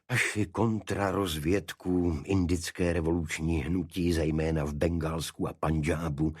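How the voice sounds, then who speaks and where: A man voicing a guarded, secretive senior government official in an audiobook, explaining things formally and evasively in a husky voice. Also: low voice, dry recording, studio quality.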